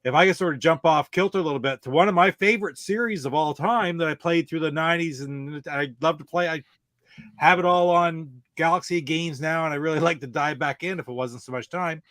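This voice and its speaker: A man's voice: fairly high pitch, brisk, fairly bright tone, dry recording. A middle-aged man in a casual podcast panel chat about games and movies, talking in a rambling way.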